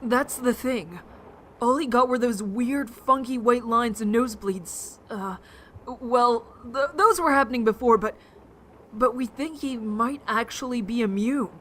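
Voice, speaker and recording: A woman's voice: on the high side, unhurried, fairly bright tone, slight background noise. A woman acting a nervous, apologetic young survivor in an apocalypse audio drama, hesitant and conciliatory with a slight stammer.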